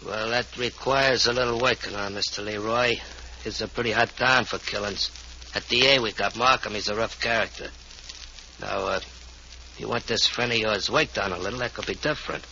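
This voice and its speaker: A streetwise male crime boss in an old radio drama. His low voice is very forceful, with mock-polite slang and quiet menace. The vintage recording is dull with faint hiss. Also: steady tone, normal speed, gravelly, exaggerated voice. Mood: quietly menacing. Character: male gangland boss, folksy menacing tough guy.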